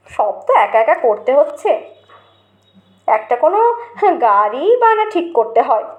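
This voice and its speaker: A woman storyteller reading a comic short story in an expressive, dramatized way. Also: sits high, narrow, tinny audio. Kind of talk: scripted comic story narration.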